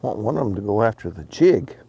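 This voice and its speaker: Middle-aged male angler, outdoors on a lake boat, relaxed instructional talk with frequent fillers. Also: lowish voice, brisk, gravelly, husky. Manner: relaxed, explains as he fishes, many ums and restarts. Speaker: fishing pro. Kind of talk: casual how-to fishing talk.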